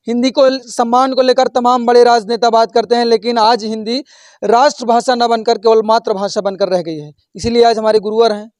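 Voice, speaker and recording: A male host introducing a guest and asking questions briskly, often murmuring agreement while listening. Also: very high-pitched, a little mellow, no room sound. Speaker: interview host. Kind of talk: interview.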